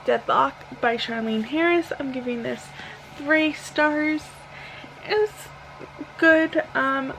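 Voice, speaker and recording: A woman in her thirties giving a casual, rambling vlog update, halting and correcting herself, sounding tired and a little hoarse. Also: high-pitched, a bit bright, a little hiss or hum, rough recording. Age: 30s. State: slightly hoarse, tired, sore throat. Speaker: book vlogger.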